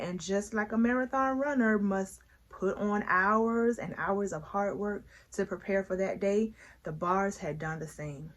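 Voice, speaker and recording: A woman hosting a read-aloud of her own book, chatty and playful, speaking emphatically with dramatic pauses. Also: medium pitch, fairly bright tone. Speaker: author and read-aloud host.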